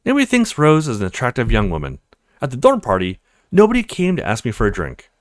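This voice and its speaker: A petite young woman from a visual novel, acted by a man, insecure yet teasing and affectionate. The pitch swoops around freely with a plaintive, playful edge, in a dry close recording. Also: huge pitch swings, fast, dry recording. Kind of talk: visual novel character read-aloud.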